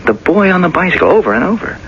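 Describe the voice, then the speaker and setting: Tactful, hesitant doctor, bright gravelly voice, confiding radio drama delivery, muffled recording. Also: male voice, high voice, sing-song, a little hiss or hum, exaggerated voice. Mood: hesitant concern. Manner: halting, careful, confiding. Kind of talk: 1970s radio drama acting.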